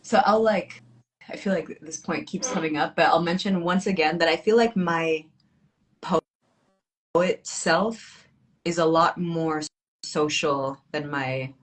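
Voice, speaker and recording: A woman with a low-pitched voice speaks as a guest poet in a casual literary conversation. She is bilingual in Arabic and English, and talks in a reflective, informal way with dry wit. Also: measured pace, poor audio. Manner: reflective, informal, wryly humorous.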